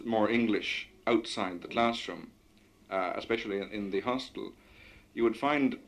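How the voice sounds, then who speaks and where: A man leading a discussion, comparing experiences and asking measured, articulate questions. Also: fairly low pitch, fairly bright tone. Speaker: interviewer. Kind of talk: radio discussion.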